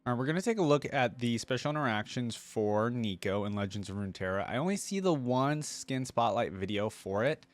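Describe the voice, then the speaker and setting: A man's voice: medium pitch, lively intonation, a little fast, hardly any pauses, no room sound, faint music behind. A man hosting a reaction video, reading text aloud and adding casual, conversational commentary.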